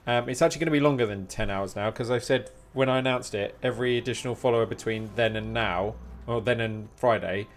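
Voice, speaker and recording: A man's voice: mid-range voice, fast talker, few pauses. A man chatting casually and replying to viewers as he live streams a game. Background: music.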